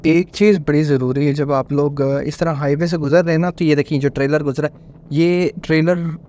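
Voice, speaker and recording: A man in his thirties, a motorcycle vlogger, chatting in a relaxed way while riding on a highway and mixing English words into his talk. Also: normal speed. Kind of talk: casual travel narration while riding. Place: on a motorcycle on a desert highway, outdoors. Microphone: helmet or action-camera mic. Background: faint wind and engine noise.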